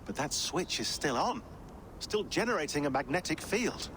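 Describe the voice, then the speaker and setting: An actor playing a wry, talkative modern expert in a game cutscene, explaining things conversationally. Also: male voice, a little high, sing-song, normal speed. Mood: intent, explaining. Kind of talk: naturalistic game cutscene acting. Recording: clear ambient noise behind.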